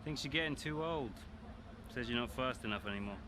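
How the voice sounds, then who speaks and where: An actor playing a smug, scheming rival racing driver with a matey, insinuating way of talking in a video game cutscene. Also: male voice, mid-range voice, a little fast, lightly acted. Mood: needling. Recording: clear outdoor ambience and vehicle noise.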